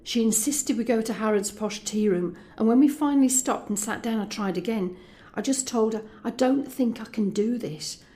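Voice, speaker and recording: A woman's voice: fairly high pitch, normal speed, few pauses, dark tone, lightly acted. A woman playing an anxious but determined young escort in an intimate, conversational audio drama monologue. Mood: troubled, confiding. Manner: confiding, conversational.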